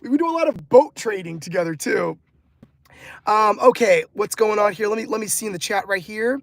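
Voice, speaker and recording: A man's voice: sits high, expressive, a little fast, gravelly, no room sound. A man from Los Angeles hosting a motivational live stream, upbeat and effusive, laughing often and repeating words as he reads out chat comments. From Los Angeles, California. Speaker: livestream host and motivational coach.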